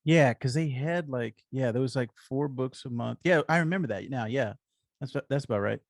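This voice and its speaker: A man's voice: medium pitch, moderate pace, creaky, no room sound, studio quality. A man co-hosting a podcast, chatting casually and agreeably as a fan. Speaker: comics podcast co-host.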